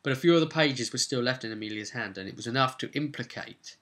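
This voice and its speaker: A man casually recapping his tabletop game as game master, rambling and breaking off into animated asides to viewers. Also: medium pitch, fast talker. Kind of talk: casual video recap, storytelling.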